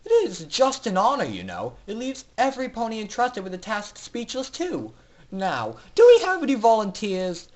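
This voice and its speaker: Man acting a high-pitched, gloating villain announcer pony. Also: wildly swooping pitch, normal speed. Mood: mock sincere. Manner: loud, clear, grandiose oratory. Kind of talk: dramatic fan fiction reading.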